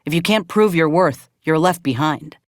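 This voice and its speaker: An actress playing a wounded but loyal frontier ex-soldier in a video game, guarded and wry, with a folksy, plainspoken, dry manner. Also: female voice, low-pitched, normal speed, no room sound, natural voice. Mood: resolute, wry. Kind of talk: video game character dialogue.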